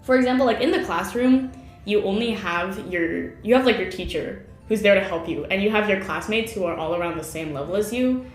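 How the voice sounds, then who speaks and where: A woman's voice: medium pitch, fairly quick, echoey room, faint music behind. A woman chatting casually in an interview about how she learned a language, laughing often and using lots of fillers.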